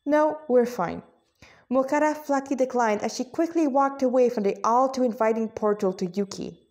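A woman with a fairly high voice reads fantasy fiction aloud. Her narration is informal and a little halting, with repeated words and casual asides. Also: darkish tone. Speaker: narrator reading a fantasy story.

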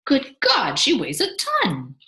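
Woman acting out a blustering, excitable husband, echoey. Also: medium pitch, normal speed. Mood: indignant. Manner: exclaiming, indignant, coaxing. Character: blustering, cheerful bearded husband, excitedly stubborn. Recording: roomy echo.

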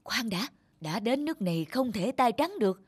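A man voicing a sly, bossy, scheming thief in a cartoon, hushed and conspiratorial. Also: very high voice, big pitch swings, moderate pace, slightly rough, no room sound, exaggerated voice. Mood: scheming, urgent. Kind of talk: cartoon dialogue.

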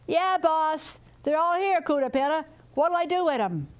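A woman's voice: high-pitched, expressive, moderate pace, voice pushed up. Woman doing a chirpy, eager chicken servant. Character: bossy little male forest chicken servant.

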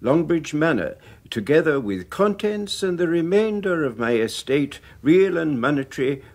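An actor playing a fussy old family solicitor in a radio drama, formal, measured and pompous. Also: male voice, a little high, big pitch swings. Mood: formal, ponderous.

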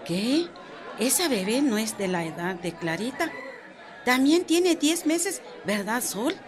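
Animated Guatemalan village grandmother. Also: on the high side, takes it slowly, a crowd in the background. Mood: curious, concerned. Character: chatty, cautious village grandmother, mother-in-law. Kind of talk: radio soap opera acting.

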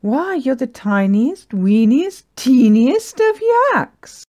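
Woman voicing a lively, wistful little girl yak. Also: mid-range voice, slow, pitched above the performer's own voice. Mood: excited, amazed. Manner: expressive, sing-song rhyming delivery. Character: small young female yak, wistful, eager, plucky. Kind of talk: children's picture-book character voice.

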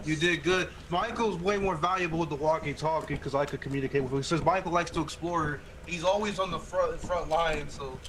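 A man's voice: a little high, fairly quick, few pauses, a bit bright, a little hiss or hum, rough recording. A young man playing a co-op game, explaining plans and guiding his teammates. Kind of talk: casual co-op gaming chat.

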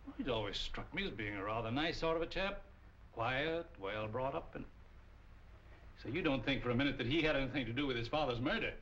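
Dignified older lawyer played by a man, bright-voiced. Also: mid-range voice, lively intonation, fairly quick, close to an everyday voice. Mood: courteous. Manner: polished, formal, protective. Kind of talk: 1940s crime film acting. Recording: muffled, noisy old film soundtrack.

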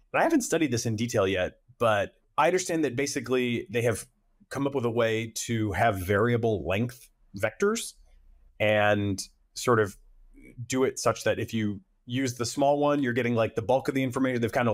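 A man's voice: fairly low pitch, no room sound, clean studio sound. A man in his thirties, a podcast host asking technical questions in a conversational way. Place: indoors, video call.

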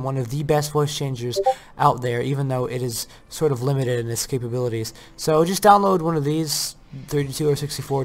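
A man hosting a casual software tutorial, explaining things step by step. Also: medium pitch. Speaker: video tutorial host. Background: faint music.

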